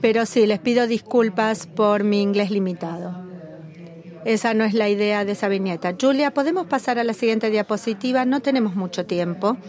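A woman interpreting a formal meeting as it happens, in a measured, halting delivery that stops often to catch up with the speaker. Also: medium pitch, a little mellow. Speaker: simultaneous interpreter at a policy meeting.

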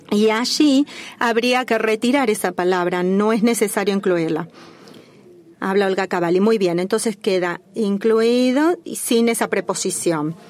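A woman giving a simultaneous interpretation of a formal working-group call, speaking in a measured, formal way with small restarts. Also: medium pitch, a little mellow.

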